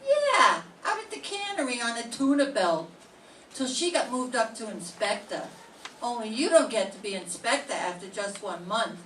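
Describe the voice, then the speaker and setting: A woman's voice: a little high, very animated, moderate pace, fairly bright tone. An older woman playing a nervous, streetwise young cannery worker in a radio drama, chatty and wary. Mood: gossipy. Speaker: amateur radio drama performer. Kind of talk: live reading of a 1940s radio drama. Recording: echoey room, distant mic, faint noise.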